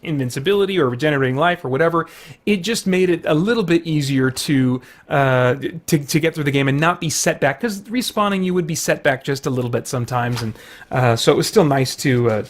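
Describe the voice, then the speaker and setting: A man's voice: fairly high pitch, expressive, fairly quick. A man talking casually and off the cuff as a video host, in an informal, rambling way, thinking aloud as he goes. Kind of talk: casual unscripted chat about retro games.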